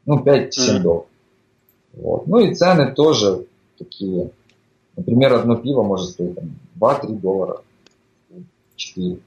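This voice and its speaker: A man's voice: fairly low pitch, normal speed, vocal fry. A man chatting casually as a guest in an interview, explaining at length with lots of fillers. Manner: relaxed, explanatory, with frequent fillers. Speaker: guest living abroad. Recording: echoey dull remote audio.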